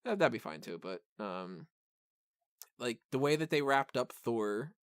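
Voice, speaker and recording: Clear-voiced man chatting casually, dry sound. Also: medium pitch, expressive, a little slow, no echo. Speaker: podcast host reviewing a film. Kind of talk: casual film discussion.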